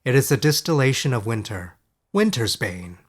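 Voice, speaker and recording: A man voicing a scholarly male wizard in a fairy-tale audiobook, formal, sincere and quietly resolute. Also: mid-range voice, big pitch swings, moderate pace, slightly rough. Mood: reverent.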